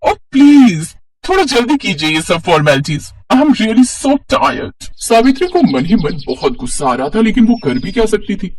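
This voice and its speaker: High, husky male story narrator. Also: far from the mic. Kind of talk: scripted narration of a family story.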